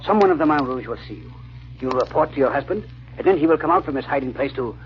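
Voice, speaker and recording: Actor as a grave baron, muffled vintage radio sound. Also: male voice, medium pitch, lively intonation, close to an everyday voice. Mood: grave, persuasive. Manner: formal, deliberate, dramatic pauses. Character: grave, determined baron seeking justice for his murdered wife. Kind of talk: old-time radio drama acting. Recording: muffled, slightly hissy old radio recording.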